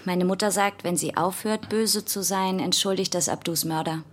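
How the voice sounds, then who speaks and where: A woman's voice: fairly low pitch, fairly even intonation, normal speed, lightly acted. A young actress playing a dry, challenging teenage girl in naturalistic radio drama. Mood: serious, reflective. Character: sardonic, blunt teenage girl living with her parents.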